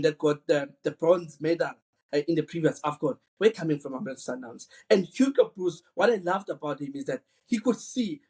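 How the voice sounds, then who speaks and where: Man, earnest podcast co-host, higher pitch and a husky tone. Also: darkish tone. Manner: earnest, repeats words while thinking. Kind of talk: casual football chat.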